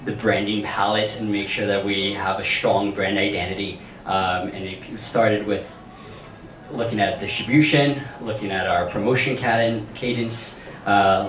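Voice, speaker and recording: A man from Brazil with a Brazilian Portuguese accent, speaking casually with fillers as a panelist. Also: lowish voice. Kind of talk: panel discussion. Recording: echoey room, distant mic, faint noise.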